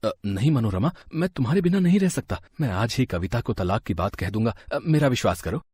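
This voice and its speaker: An actor playing an irritable, selfish husband in a storybook family drama, husky-voiced, impatient and insistent. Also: male voice, mid-range voice, animated, brisk, darkish tone, no room sound. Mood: pleading.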